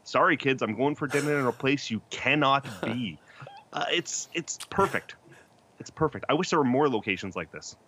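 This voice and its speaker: A man's voice: medium pitch, lively intonation, normal speed, pushed and bright, a little hiss or hum. A man in his thirties, a podcast host joking and laughing as a debate guest over a video call. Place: small indoor room.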